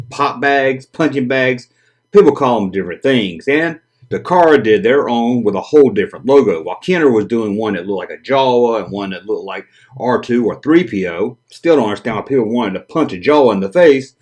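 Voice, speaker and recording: A man hosting a collector video, talking casually and joking about odd vintage toys; his first language is Japanese. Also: medium pitch. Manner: animated, joking, chatty. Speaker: toy collector channel host.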